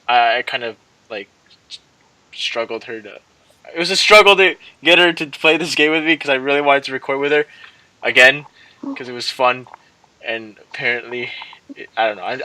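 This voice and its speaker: A man's voice: medium pitch, bright, forceful tone, low-quality recording. A man hosting a gaming video, chatting casually and reading story text aloud, often stumbling and repeating words.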